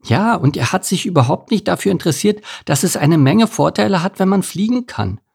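Man doing a high, chatty little thrush. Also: very animated, fairly quick, gravelly, a little mellow, slightly rough, exaggerated voice. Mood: indignant. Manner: earnest, chatty. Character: high-pitched earnest female thrush, small talkative bird. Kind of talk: children's storybook narration.

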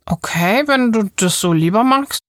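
A woman's voice: fairly high pitch, lively intonation, normal speed, fairly bright tone, no echo. A woman hosting a children's show, chatting casually with a child in a friendly, caring way. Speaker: host chatting with a child character.

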